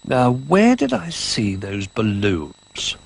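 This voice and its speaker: Man reading aloud doing a gentle old toy bear, slow and soothing. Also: medium pitch, huge pitch swings, creaky, slightly rough, pitched above the performer's own voice, exaggerated voice. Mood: kindly. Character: kindly older male toy bear.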